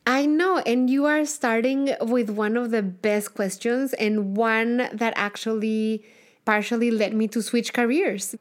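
A woman with a Mexican accent talks with warmth of conviction, laying out ideas at length and with eagerness. Her voice sits somewhat high. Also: steady tone, measured pace. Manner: enthusiastic, expansive explainer. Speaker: guest, cookbook author and TV cook. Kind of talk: radio interview.

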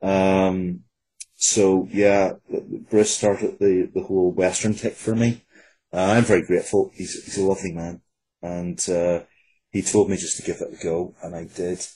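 A man's voice: deep voice, flat delivery, unhurried, mellow, poor audio. A man speaking as an interview guest and writer, casually and earnestly, in a reflective way. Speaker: guest, writer of fiction, comics and games.

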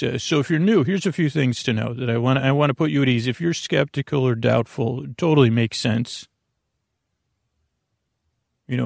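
A man with a creaky voice hosting a bedtime podcast, rambling haltingly through tangents in a soothing, lulling way. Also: medium pitch, dry recording, clean studio sound. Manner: meandering, halting, full of tangents. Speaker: podcast host.

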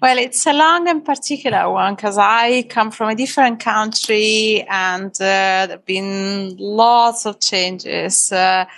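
A middle-aged woman from Italy with an Italian accent, speaking as a podcast guest in a halting way, with many fillers and restarts. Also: mid-range voice, a bit bright. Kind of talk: conversational interview.

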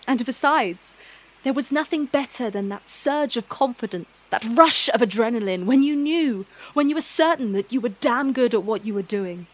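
A woman narrating a scripted fantasy story in a measured, dramatic storytelling manner. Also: a little high, expressive, slight background noise, poor audio.

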